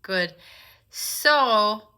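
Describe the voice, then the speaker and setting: A woman's voice: mid-range voice, lively intonation, extremely slow, sharp tone, clear, resonant tone. A woman from Denmark, a native Danish speaker, hosting an informal live online session as a meditation teacher, welcoming attendees and explaining things to them directly. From Copenhagen, Denmark.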